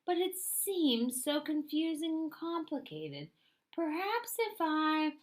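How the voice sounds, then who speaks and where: A woman voicing a shy, polite little female possum in a storybook, in a soft, breathy, hesitant voice. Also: sits high, sing-song, slow, voice pushed up, exaggerated voice. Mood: timid, puzzled. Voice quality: breathy, whispery. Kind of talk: animated storybook read-aloud.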